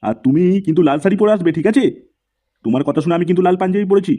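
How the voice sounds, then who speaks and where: Man acting middle-aged father, cartoon comedy, evasive, casual, dark tone, fast. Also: high-pitched. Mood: flirty.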